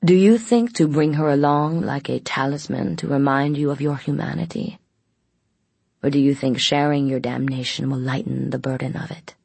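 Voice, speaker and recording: Brooding vampire man, acted by a woman narrator: courtly, eerie, ornate wording, low husky delivery. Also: fairly even intonation, moderate pace, darkish tone, no echo, heavily acted. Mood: grave. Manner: oddly formal, ornate speech. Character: male vampire, formal, brooding, old-fashioned and eerie.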